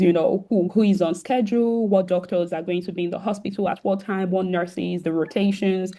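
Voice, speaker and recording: Woman host, sore throat, lower register with some vocal fry, heavy "um" fillers, video call. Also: dark tone. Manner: many "um" fillers, restarts, addresses students directly. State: sore throat, occasional coughing. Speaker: founder and host of a virtual career event. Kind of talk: casual welcoming talk on a video call.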